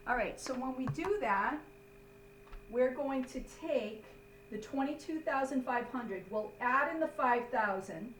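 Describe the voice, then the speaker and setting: Woman instructor, fairly high voice, step-by-step explaining, short check-in tags, roomy distant recording. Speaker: accounting instructor. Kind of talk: step-by-step classroom lesson. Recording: roomy, distant mic, faint hum.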